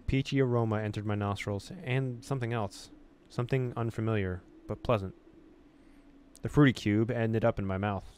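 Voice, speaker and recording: A man's voice: lowish voice, fairly even intonation, moderate pace, a little mellow, a little hiss or hum. A man hosting a let's-play, reading story text expressively and dropping in casual asides. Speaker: gaming video host.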